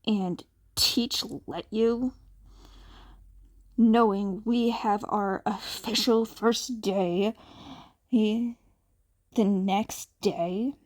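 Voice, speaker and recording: A woman's voice: mid-range voice, steady tone, drawn-out words, pauses often. A woman voicing a blunt, incredulous teenage hero student in an audio story. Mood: blunt disbelief.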